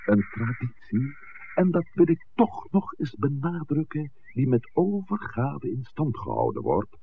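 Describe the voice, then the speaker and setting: An actor playing an older, authoritative village priest, speaking formally and eloquently in a 1960s radio drama. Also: male voice, mid-range voice, huge pitch swings, creaky, heavily acted. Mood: sceptical, lecturing. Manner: formal, measured, eloquent, commanding. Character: old village priest, authoritative, stern, protective of his flock. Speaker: parish priest. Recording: tinny, noisy 1960s radio recording.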